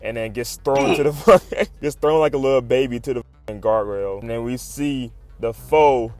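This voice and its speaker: A man giving a casual, excited recap as a video host, full of slang, swearing and animated exclamations. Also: mid-range voice, moderate pace, no echo, soft background music. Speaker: YouTube host recapping comics.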